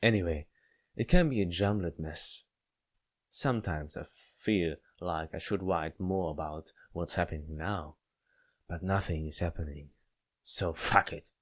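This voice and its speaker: A man's voice: low-pitched, a little slow. A man reading a visual novel aloud and commenting on it, stumbling over words now and then. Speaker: video game player reading aloud.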